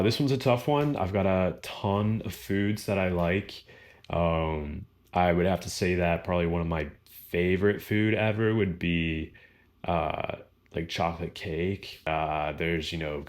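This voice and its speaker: A young man with a baritone voice answering viewer questions in a relaxed, rambling vlog chat full of fillers. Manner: relaxed, rambling, lots of fillers and small laughs. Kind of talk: casual Q&A chat.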